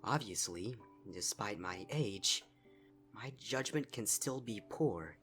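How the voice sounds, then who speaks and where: Man voicing a soft-spoken, regal mare princess, pitched up. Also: expressive, slow, faint music behind. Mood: regretful. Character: gentle regal old mare princess, calm and regretful. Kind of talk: character voice in fan fiction reading.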